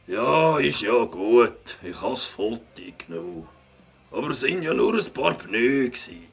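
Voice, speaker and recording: Gravelly, grumbling Swiss German troublemaker. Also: male voice, mid-range voice, big pitch swings, soft background music, heavily acted. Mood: sheepish, defeated. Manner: grumbling, slow, defensive. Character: gruff, grumbling pub-going male litterbug caught out. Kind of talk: children's audio drama acting.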